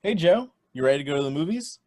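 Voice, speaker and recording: A man playing a friendly, slightly awkward, eager teenage boy in a radio play, with a husky voice. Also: a little high, lively intonation, fast, darkish tone, lightly acted. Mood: upbeat.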